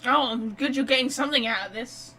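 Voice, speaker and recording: A woman doing casual, reactive gameplay commentary as a streamer, blunt and excitable. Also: high voice, a little fast. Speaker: game streamer.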